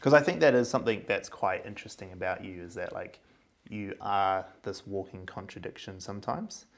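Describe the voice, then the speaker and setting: Man, podcast host, fairly low voice, casual questioning, frequent short acknowledgements. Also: a little fast. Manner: prompting with short yeahs and probing questions. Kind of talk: casual interview chat.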